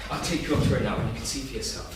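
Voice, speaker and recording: A man's voice: medium pitch, very fast, lightly acted. An actor playing a deferential, embarrassed hotel clerk in a staged reading, hesitant and stammering as he tries to be tactful. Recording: echoey theatre, distant mic, faint noise.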